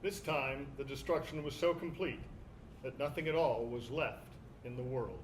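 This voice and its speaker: A man's voice: mid-range voice, moderate pace. A man performing on stage as a reader in a literary dramatization. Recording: live stage recording with background noise.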